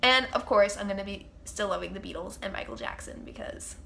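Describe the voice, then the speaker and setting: A woman's voice: mid-range voice, brisk, gravelly, sharp tone, slight background noise. A young woman with a congested, stuffy nose, chatting as host of her own Q&A vlog with gushing fan enthusiasm. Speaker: channel host. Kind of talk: casual Q&A vlog chat.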